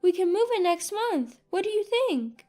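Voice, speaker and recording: A woman's voice: extremely high pitch, very animated, moderate pace, warm tone, faint music behind, pitched above the performer's own voice. A woman voicing a modest, practical older mother in a narrated family drama. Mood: earnest, apologetic.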